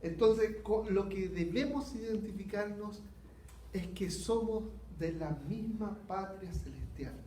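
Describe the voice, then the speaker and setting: Chilean man, high-voiced pastor, slow earnest sermon, roomy echo. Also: mellow, a little hiss or hum. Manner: earnest, unhurried preaching with rhetorical questions. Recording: echoey hall.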